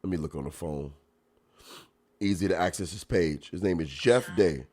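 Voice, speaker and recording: A man hosting a podcast, a stand-up comic chatting and reacting to clips, with a stuffy nose and loud laughs. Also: deep voice, normal speed. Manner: animated, laughs loudly, chatty slang. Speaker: podcast host and stand-up comedian. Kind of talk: casual chat reacting to clips.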